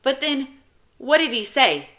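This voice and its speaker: A woman's voice: high-pitched, very animated, a little slow, a bit bright, voice pushed up, heavily acted. A woman voicing a stubborn, emotional ten-year-old boy in an audiobook. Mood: insistent, pestering. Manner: protesting, pestering, emotional.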